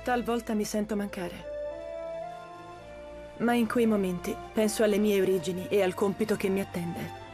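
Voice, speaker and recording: A woman's voice: medium pitch, fairly even intonation, normal speed, long pauses, natural voice. A woman playing a proud young queen. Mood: quiet. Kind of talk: dubbed documentary drama. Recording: music underneath.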